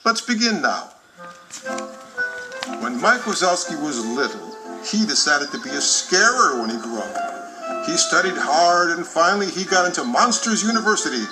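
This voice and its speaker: A man tells a children's story from a script. His voice sits slightly high and moves around in a lively, expressive way. Music plays clearly behind him. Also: moderate pace, low-quality recording. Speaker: storybook narrator.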